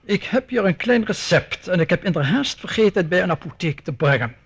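High, creaky, sly gentleman. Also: animated, brisk, lo-fi sound, exaggerated voice. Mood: smooth menace. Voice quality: creaky, husky. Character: sly, overly polite gentleman with veiled threats. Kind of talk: radio drama acting.

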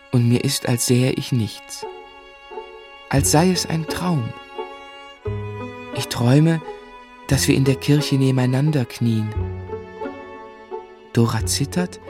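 A man's voice: mid-range voice, steady tone, takes it slowly, long pauses, music in the background. An actor playing a tender, dreamy young man in love who narrates his life lyrically and reflectively in a literary radio play. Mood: dreamy, moved. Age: young adult.

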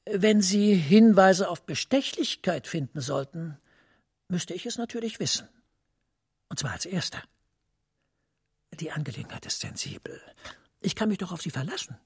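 A husky, composed senior official. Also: male voice, high-pitched, animated, dry recording, clean studio sound, exaggerated voice. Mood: discreet, controlled. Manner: precise, measured, confidential. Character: professor and senior EU official, composed, discreet, authoritative. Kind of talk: German radio drama acting.

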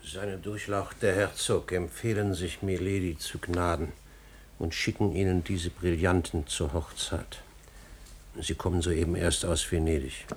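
An actor playing an old, grieving manservant in a classical German radio drama, weary, restrained and bitterly ironic. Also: male voice, deep voice, steady tone, measured pace, slight background noise. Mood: weary, formal.

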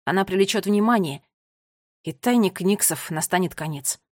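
A woman's voice: fairly low pitch, animated, moderate pace, a little mellow, dry recording, clean studio sound. A woman voicing a bold, confident schoolboy who leads the others in a children's adventure story. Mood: resolute.

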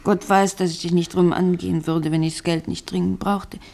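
A lonely estranged wife in a radio drama, bitter and plaintive. Her voice is on the low side and noticeably creaky, with an emotional, reproachful delivery. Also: little pitch movement, brisk, darkish tone. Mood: strained, coaxing. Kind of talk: German radio drama acting.

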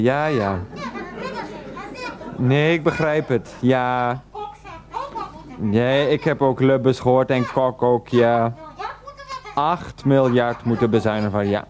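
An actor playing an exasperated middle-aged husband and son in a radio play, flustered, repetitive and placating. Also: male voice, medium pitch, natural voice. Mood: resigned, placating.

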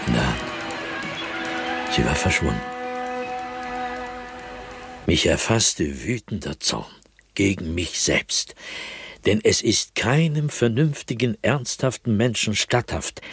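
An actor playing a proud, agitated intellectual gentleman in a radio drama, reading his diary as a brooding, intense, theatrical monologue. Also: on the low side, lively intonation, slow pace, pauses often, faint music behind. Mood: shaken, fearful. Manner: brooding, intense, theatrical, with sudden swings in emphasis. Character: haunted, agitated gentleman diarist, proud intellectual sliding into fear.

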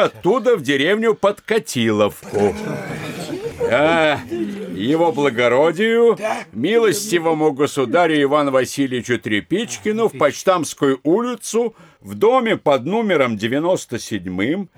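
An actor playing a gleeful townsman in a classic comedy audio drama, reading aloud in a drawn-out, declamatory way. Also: on the high side, big pitch swings, a crowd in the background.

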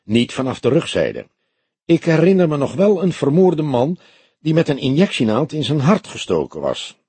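A male narrator plays a grizzled old detective from a crime audiobook. He is laconic and grumbling, very husky, with a lively swing in pitch, recorded dry and close. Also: moderate pace, no room sound, exaggerated voice. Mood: thoughtful. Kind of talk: acted dialogue in an audiobook.

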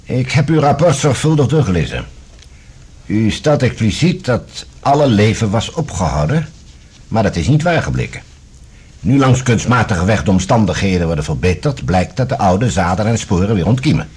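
A man acting a formal, authoritative general in a science-fiction radio drama, with a husky voice and a deliberate, questioning manner. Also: fairly low pitch, sing-song, darkish tone, heavily acted. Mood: probing, measured. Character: authoritative male general, probing and measured questioner.

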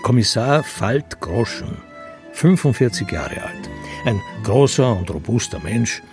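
A man reading scripted radio drama narration in a measured, literary and dry manner. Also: mid-range voice, very animated, music in the background.

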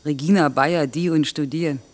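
A woman's voice: sits low, fairly even intonation, moderate pace, close to an everyday voice. A woman in a German radio play acting a proud, disheartened worker with a curt, guarded way of talking. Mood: bitter. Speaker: actor.

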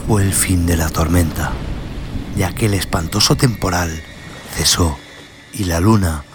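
A man's voice: low-pitched, unhurried, background noise. A man narrating a scripted horror tale in a solemn, theatrical way. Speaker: host and narrator of a horror audio story show. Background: music.

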